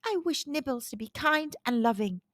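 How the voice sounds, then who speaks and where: A woman voicing a small, kind-hearted young male squirrel from a children's storybook, speaking in an earnest, gentle manner. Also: on the high side, animated, normal speed, no room sound, studio quality. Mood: kindly.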